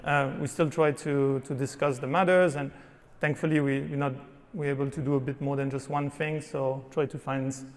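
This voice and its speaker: A man answering as a panelist, halting, with many restarts and fillers. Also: medium pitch, level tone. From Switzerland. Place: conference hall on stage. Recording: reverberant conference hall.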